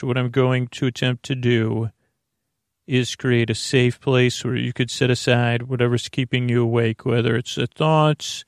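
A man hosting a bedtime podcast in a slow, creaky voice, wandering through gentle tangents and restarting his sentences. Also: mid-range voice, no room sound, studio quality. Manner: slow, meandering, self-interrupting, gently joking. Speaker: sleep podcast host. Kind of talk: rambling bedtime monologue.

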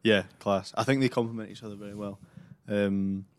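A man co-hosting a sports podcast, relaxed and modest as he talks about a match. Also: fairly low pitch, a little fast, no echo. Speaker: co-host and player. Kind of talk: casual sports podcast chat.

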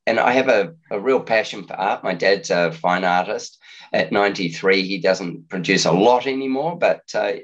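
A man hosting a podcast, asking relaxed questions in an easygoing manner. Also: sits low, normal speed, muffled recording. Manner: relaxed, easygoing, chuckles often. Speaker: podcast host. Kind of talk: casual interview chat.

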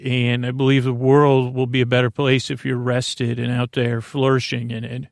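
A man hosting a sleep podcast, with a creaky, gravelly voice, rambling in a meandering, halting monologue that often stops and restarts. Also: medium pitch, completely flat delivery, no room sound, clean studio sound. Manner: meandering, halting, self-deprecating.

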